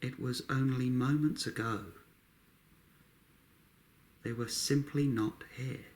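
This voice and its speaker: A man guiding a meditation, speaking calmly in short, unhurried phrases with long pauses between them. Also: mid-range voice. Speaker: meditation guide. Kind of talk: guided meditation narration.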